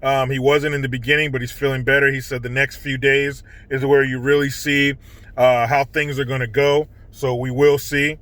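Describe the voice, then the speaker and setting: A man's voice: medium pitch, flat delivery, normal speed, fairly bright tone, no echo. A man hosting a vlog, giving casual, opinionated political commentary in a blunt, indignant, profane manner.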